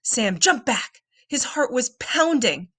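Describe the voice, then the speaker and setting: Animated, unhurried woman storyteller. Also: a little high, slightly rough, no room sound. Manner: dramatic, suspenseful delivery. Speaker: read-aloud storyteller. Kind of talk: expressive children's book narration.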